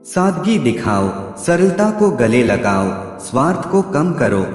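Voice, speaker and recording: Echoey, expressive male quote narrator. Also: a little high, slow. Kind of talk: scripted motivational quote narration. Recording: echoey hall reverb with background music. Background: music.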